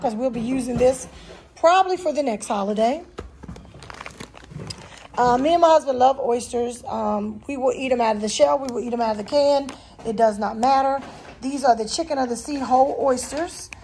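High-voiced woman chatting casually to viewers. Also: darkish tone, a little hiss or hum. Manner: folksy, chatty, addressing viewers directly. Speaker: vlogger showing a grocery haul. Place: home kitchen, indoors. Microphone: handheld phone behind the camera.

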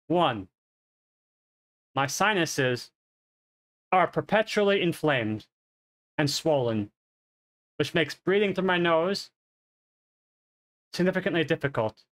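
Streamer, male, 30s, nasal and congested, fairly high voice, talks casually and rambles on a headset mic. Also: fairly bright tone. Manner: rambling, self-correcting. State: congested, coughing. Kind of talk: casual health update. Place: home streaming room.

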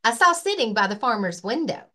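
A gentle young pig boy who writes poems, as a woman reads him aloud from a storybook. He speaks earnestly and softly, his voice lifted high and lilting up and down. He is a sweet dreamer among his rowdy family. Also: big pitch swings, brisk. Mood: hopeful. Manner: earnest and soft-spoken.

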